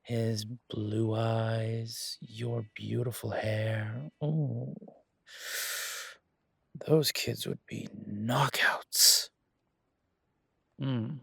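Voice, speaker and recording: A flamboyant older charmer, acted by a man, purrs in a husky, slightly low voice. He lingers on his words and teases like a smooth club owner in a roleplay drama. The sound is dry and close. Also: fairly even intonation, a bit bright, no room sound, full character voice. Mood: teasing, seductive. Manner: purring, theatrical, teasing, drawn-out words.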